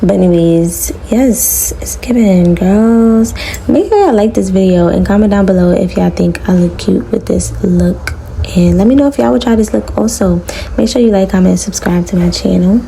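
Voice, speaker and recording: A young woman with a raspy voice chatting casually to viewers as she styles her hair, relaxed and full of slang, in a small room. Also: medium pitch, moderate pace, a little hiss or hum. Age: young adult. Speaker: beauty vlogger. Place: small room before a green screen.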